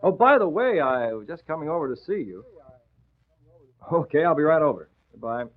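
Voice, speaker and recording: An actor playing a shrewd, composed newspaper publisher in a 1940s radio drama. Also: male voice, a little high, big pitch swings, moderate pace. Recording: tinny old radio recording.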